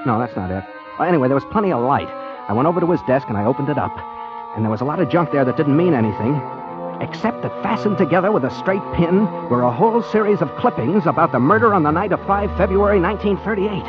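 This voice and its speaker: A muffled old radio recording with music carries an actor as an easygoing male detective. His voice is fairly high and swings freely in pitch. He rambles wryly, wandering off into small digressions like a man thinking aloud. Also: expressive, quick pace, natural voice. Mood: wry, rambling. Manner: chatty, rambling, digressive, wry. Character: easygoing, whimsical amateur-hearted male private detective. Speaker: detective hero and narrator. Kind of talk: 1940s radio comedy-mystery acting and first-person narration.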